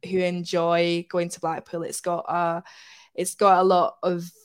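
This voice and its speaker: Lower-pitched, reflective woman from Scotland. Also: monotone, unhurried, smooth. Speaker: podcast guest. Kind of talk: casual conversational interview.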